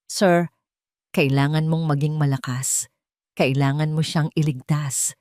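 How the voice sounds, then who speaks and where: A woman's voice: low-pitched, fairly even intonation, measured pace, a little mellow, no room sound, studio quality. A woman voicing a respectful male assistant in an audio drama, with an urgent, deferential manner. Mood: pleading.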